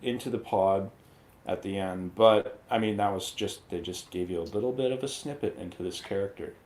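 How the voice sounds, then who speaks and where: The sound is roomy and echoey around a fairly low male voice. He talks like a panelist on a movie review show, casual and opinionated. His delivery rambles conversationally and is freely peppered with swearing. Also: normal speed, a little mellow.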